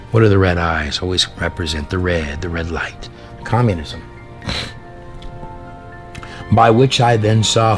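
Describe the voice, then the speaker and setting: Male preacher-storyteller, lowish voice, theatrical emphatic delivery of sermon and prophecy, faint music underneath. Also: a little mellow. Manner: theatrical, emphatic, drawn-out dramatic phrasing with rhetorical questions. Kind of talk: dramatic sermon with read-aloud prophecy. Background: background music.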